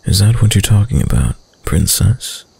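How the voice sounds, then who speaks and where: A man playing a dominant, seductive dark prince in an intimate roleplay audio drama, suave, hushed and deliberate, with a deep, gravelly, breathy voice. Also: sing-song, exaggerated voice. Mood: smooth, coaxing. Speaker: voice actor.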